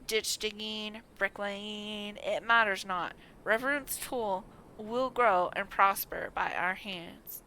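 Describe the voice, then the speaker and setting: A devoted, resolute young clansman from a fantasy game read by a woman. The delivery is formal and unhurried, in a bright voice. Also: medium pitch, steady tone, a little hiss or hum, low-quality recording.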